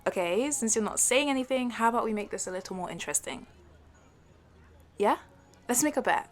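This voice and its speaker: A woman's voice: a little high, expressive, close to an everyday voice. A young woman playing a sporty, competitive childhood best friend in an audio roleplay, with a playful, teasing, slightly hesitant manner. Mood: playful, challenging. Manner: playful, teasing, with hesitant halting starts and giggles. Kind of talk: audio roleplay acting, one-sided conversation with listener.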